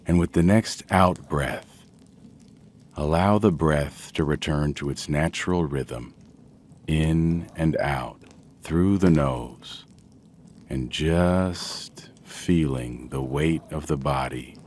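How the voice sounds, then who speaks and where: A man gently narrating a guided sleep meditation in a soothing, measured way with long pauses. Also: a bass voice, a little hiss or hum.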